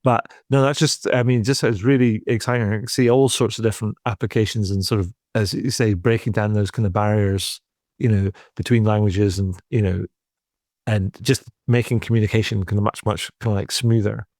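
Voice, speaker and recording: A man with a lowish voice hosts a podcast, talking in long, meandering sentences full of "kind of" and "you know". The sound is dry and close. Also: fairly even intonation, a little fast, a little mellow, dry recording. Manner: rambling, many fillers like "kind of" and "like". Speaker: podcast host. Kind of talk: casual interview talk.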